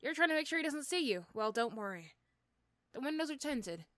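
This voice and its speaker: A woman playing an irritable, sarcastic female supervillain in a roleplay audio drama, snappy and condescending but gruffly caring. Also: high voice, very animated, brisk, a bit bright, no room sound, studio quality. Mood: condescending, reassuring.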